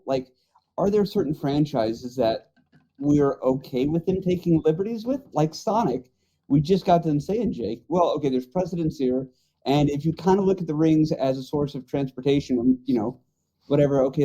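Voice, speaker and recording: A man's voice: on the high side, normal speed, dark tone. A man chatting casually and informally on a podcast panel. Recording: dull, muffled audio.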